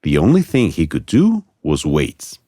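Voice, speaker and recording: A man narrating a children's story from a script in an expressive storytelling manner. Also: rumbling low, unhurried, background noise.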